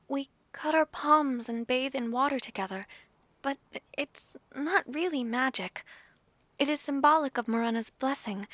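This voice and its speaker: Woman playing a high-voiced, gentle young dragon noblewoman. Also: dry recording. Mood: eager. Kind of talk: fantasy audio drama acting.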